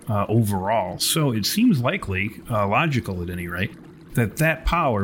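A man narrating as a tabletop game master, with erudite, wordy and deliberate phrasing. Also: on the low side, fairly bright tone, a little hiss or hum.

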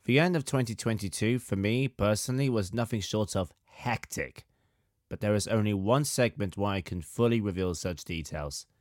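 A man in his thirties, slightly hoarse with a sore throat, hosting a podcast in a measured, articulate, scripted narration style. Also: lowish voice, no room sound, clean studio sound. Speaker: podcast host, composer.

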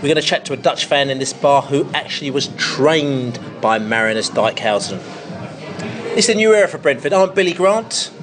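A man's voice: medium pitch, animated, normal speed, a crowd in the background. Bantering man hosting from a pub. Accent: London English. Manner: jokey, bantering. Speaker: podcast host. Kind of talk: casual pub chat. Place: busy pub.

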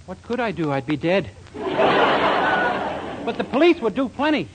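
A man's voice: sits high, big pitch swings, normal speed, highs cut off. An actor playing a naive, bashful young man with an American accent, earnest and guileless, in 1940s radio sitcom style. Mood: naive, eager. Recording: hissy 1940s radio recording.

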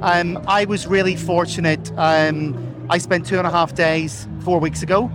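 An Irish man in middle age speaks with a fairly high voice. He sounds earnest and careful, padding his answers with fillers and corporate phrases. He is being interviewed outdoors at a busy festival. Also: steady tone, measured pace, background noise. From Ireland. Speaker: corporate sponsor representative.

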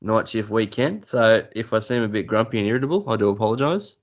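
A man's voice: fairly low pitch, fast, lo-fi sound. An Australian man being interviewed on a podcast, talking casually with dry, self-deprecating humour. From New South Wales, Australia. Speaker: podcast guest.

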